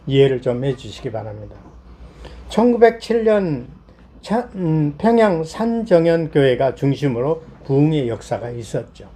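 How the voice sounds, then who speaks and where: A middle-aged man from South Korea preaching a Sunday sermon as a pastor, explaining point by point in a voice slightly hoarse from a cold. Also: a little high, sing-song, normal speed, warm tone.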